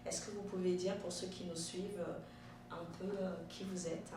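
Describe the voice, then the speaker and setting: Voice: mid-range voice, flat delivery, moderate pace. An interviewer asking questions politely, often hesitating with fillers. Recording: echoey room, mic far off, clear noise.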